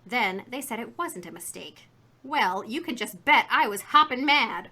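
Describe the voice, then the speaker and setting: A woman's voice: on the high side, lively intonation, measured pace, pushed and bright, full character voice. An actress playing a chatty, indignant, folksy woman shopkeeper in a 1950s radio drama. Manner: folksy, garrulous, emphatic.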